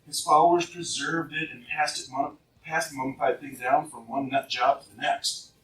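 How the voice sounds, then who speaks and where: A man's voice: medium pitch, fairly even intonation, moderate pace, crowd noise, natural voice. A man playing a gargoyle hero who tells of old battles in a casual way, in a live radio play. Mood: wry storytelling. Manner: casual storytelling. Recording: roomy, noisy, distant live recording.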